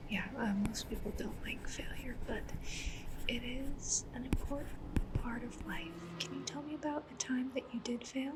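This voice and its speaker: A woman whispering softly in an ASMR roleplay as a polite, attentive matchmaker, with a breathy voice and gentle, unhurried questions. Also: high-pitched. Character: polite, attentive female cyberpunk matchmaker interviewing a client. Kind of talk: soft-spoken whispered ASMR roleplay interview. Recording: clear ambient noise behind voice. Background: sci-fi ambience, writing sounds.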